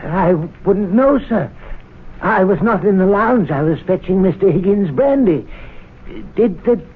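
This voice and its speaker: A polite old-fashioned butler. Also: male voice, high voice, lively intonation, unhurried, slightly rough, heavily acted. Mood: deferential. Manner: formal, deferential, says "sir" constantly. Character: polite deferential male club butler. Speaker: club servant. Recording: tinny old radio broadcast.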